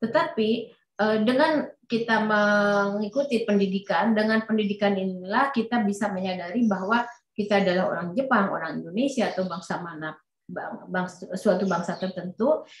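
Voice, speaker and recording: A woman's voice: mid-range voice, moderate pace. A woman interpreting a lecture, hesitant, with many fillers and restarts. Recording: roomy echo.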